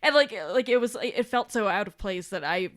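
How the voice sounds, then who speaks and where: A woman's voice: mid-range voice, lively intonation, brisk, fairly bright tone. A woman co-hosting a podcast in casual discussion, talking in a rambling, self-deprecating way. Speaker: podcast co-host.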